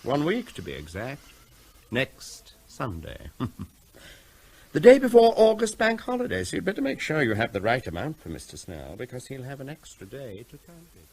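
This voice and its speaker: A man's voice: mid-range voice, wildly swooping pitch, moderate pace, a little mellow. An actor playing a sarcastic male debt collector who taunts and threatens in a radio drama. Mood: mocking. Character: menacing male debt collector, smooth and mocking. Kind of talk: 1970s radio drama acting.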